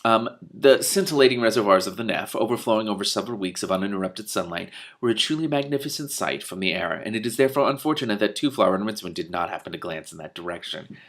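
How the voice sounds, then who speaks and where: A man with a fairly low voice reads a fantasy novel aloud. His delivery is expressive, and he serves as both reader and commentator. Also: quick pace, hardly any pauses.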